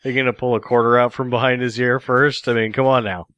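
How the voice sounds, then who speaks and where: A man's voice: medium pitch, level tone, fast talker, fairly bright tone, clean tone, dry recording, lo-fi sound. A man co-hosting a movie review podcast, joking loosely and laughing often. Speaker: podcast co-host. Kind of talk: casual movie review banter.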